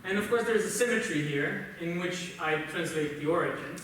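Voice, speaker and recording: A man with a Brazilian accent, a native Portuguese speaker, gives a technical conference lecture in a halting, explanatory way. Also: sits high, fairly bright tone. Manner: halting, explanatory, often checks with "right?". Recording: roomy, noisy conference hall, distant mic.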